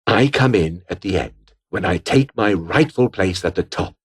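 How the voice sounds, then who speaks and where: Game role: pompous, scheming British officer, coldly ambitious, with widely swinging intonation. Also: male voice, low voice, animated, moderate pace, husky. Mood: conspiratorial, cold. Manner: pompous, formal, disdainful. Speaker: video game voice actor. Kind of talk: scripted game character dialogue.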